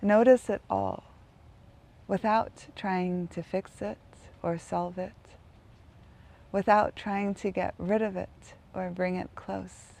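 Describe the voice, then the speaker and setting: Female meditation guide: soft-toned and relaxed, gravelly fry, gentle unhurried phrasing with long gaps. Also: mid-range voice.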